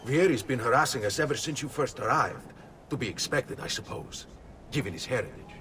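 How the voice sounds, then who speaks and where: A man's voice: medium pitch, lively intonation, brisk, sharp tone. An actor playing a resigned, bitter townsman with an Italian accent in a video game scene. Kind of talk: dramatic video game cutscene acting. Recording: distant voice over faint ambient noise.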